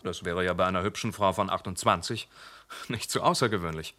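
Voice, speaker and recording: An actor playing a calm, dryly probing police inspector who questions witnesses politely, in a radio play. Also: male voice, low voice, a little fast.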